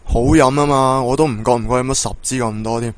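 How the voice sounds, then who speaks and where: A man playing an earnest, encouraging school football coach in a radio drama, speaking Hong Kong Cantonese in a measured, persuasive way. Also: mid-range voice, steady tone, dry recording, natural voice. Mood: easygoing. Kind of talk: Hobby radio drama acting. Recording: music bed under the dialogue.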